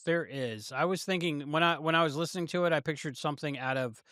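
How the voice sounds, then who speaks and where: Male co-host, forceful bright tone, casual halting chat, very dry recording. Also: on the high side, smooth, dry recording. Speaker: podcast co-host. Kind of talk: casual chat, review podcast.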